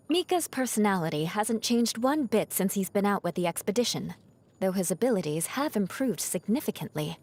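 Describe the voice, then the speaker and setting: A woman voicing a proud young noblewoman knight in a video game, composed and haughty, with formal diction and a grudge-holding edge over a softer heart. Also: medium pitch, close to an everyday voice. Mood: composed, approving. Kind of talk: scripted video game character voice lines.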